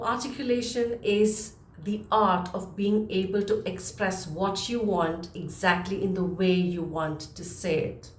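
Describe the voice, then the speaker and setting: A woman presenting a spoken lesson to viewers as a communication coach, emphatic and deliberately varying her speed to stress points. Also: on the low side, slight background noise. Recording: roomy, echoing room sound.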